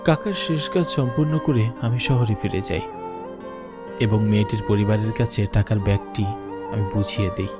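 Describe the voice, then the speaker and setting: A man's voice: fairly low pitch, moderate pace, natural voice. A man playing a frightened schoolteacher who tells his ghost story in the first person, with dramatic delivery, for a horror cartoon. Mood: somber. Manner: dramatic, fearful, pleading when in dialogue. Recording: music bed under the voice. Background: music.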